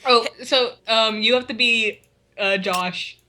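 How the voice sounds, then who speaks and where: Woman, bright voice, casual dramatic reading, giggly, breaks off to comment, faint music behind. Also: a little high, slow pace. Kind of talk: casual dramatic reading of fan fiction with friends.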